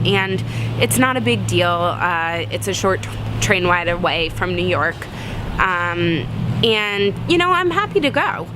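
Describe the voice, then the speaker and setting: Reflective young woman, street noise behind. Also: mid-range voice. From Connecticut. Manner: reflective, frequent fillers and restarts. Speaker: interviewee. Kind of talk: personal storytelling.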